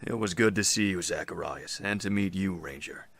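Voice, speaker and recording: An actor plays a deep-voiced man in command of a survivor band. He speaks formally and with measured authority, in the manner of a serious audio drama. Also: fairly bright tone, no echo. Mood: calm, grave. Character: composed, authoritative male leader of survivor group.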